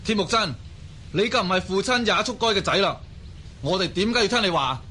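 An actor playing a defiant, scornful young half-brother with a Cantonese accent in an audio drama. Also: male voice, high voice, brisk, sharp tone, slight background noise. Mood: defiant, taunting.

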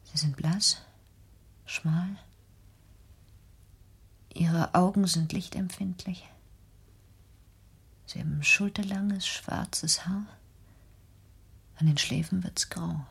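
An actress playing a sheltered, defiant grown daughter in a radio drama, speaking in a hushed, intense way. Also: female voice, lowish voice, slow, pauses often, exaggerated voice. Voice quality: hushed, breathy, husky. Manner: intense, insistent, accusing. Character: sheltered, defiant 38-year-old daughter confined at home. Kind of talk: German radio drama acting.

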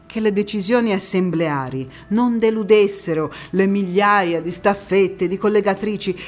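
A proud, spirited young woman of parliament, acted by a stage actress tells her own story as a theatre monologue. Her voice has an average pitch and expressive, deliberate phrasing. Music plays behind her. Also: natural voice. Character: young female partisan turned parliamentarian, proud, spirited, recounting memories. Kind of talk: theatrical first-person monologue.

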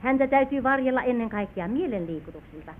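An actress playing a composed, authoritative midwife in old Finnish radio play style, formal, firm and instructing. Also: female voice, a little high, animated. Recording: tinny, narrow old radio recording.

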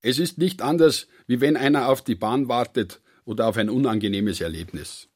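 An actor playing a matter-of-fact man who muses coolly in a radio drama, with a husky voice. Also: mid-range voice, normal speed, a little mellow, no room sound, clean studio sound, close to an everyday voice. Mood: detached.

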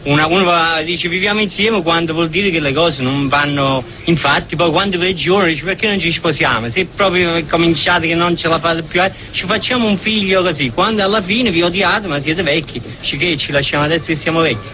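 A man's voice: a little high, brisk, few pauses, pushed and bright, slight background noise. A man playing a cynical questioner of couples, talking in a rambling, colloquial way. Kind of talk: colloquial dialogue.

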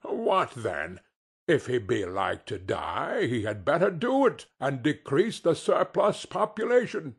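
A man voicing an old male miser who pleads in a high, strained voice. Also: big pitch swings, measured pace, husky, exaggerated voice. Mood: bitter.

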